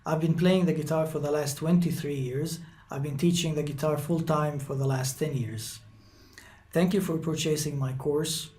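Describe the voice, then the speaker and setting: A man in his thirties speaks English with an Egyptian accent, his voice sitting a touch higher than most men's. He introduces his guitar course in a friendly, hesitant way, often stopping on "uh" and "um" to find his words. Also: fairly even intonation, normal speed, dark tone. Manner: hesitant, many fillers. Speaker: guitar teacher.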